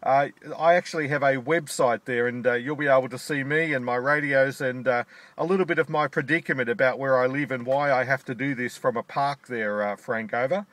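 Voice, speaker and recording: A man's voice: medium pitch, brisk, a bit bright. A man talking as an amateur radio operator, spelling things out in phonetics, speaking from inside a parked car. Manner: spells out call signs in phonetic alphabet. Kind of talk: ham radio contact chat.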